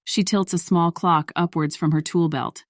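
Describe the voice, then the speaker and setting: Woman narrator for an audio story, low voice, real dry studio-like sound with no room. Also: fairly even intonation, moderate pace, no echo.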